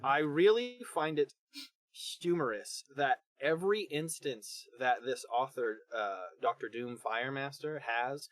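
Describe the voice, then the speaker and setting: A man's voice: medium pitch, measured pace, no echo. A man co-hosting a podcast, interjecting with casual comic banter. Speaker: podcast co-host.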